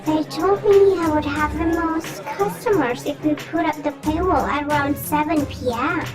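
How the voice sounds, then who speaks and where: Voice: high voice, moderate pace, close to an everyday voice. An actor playing a small, cute, fluffy goblin creature in an audio drama, mock-polite and childlike with a sinister edge. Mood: chirpy. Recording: echoing voice under loud music.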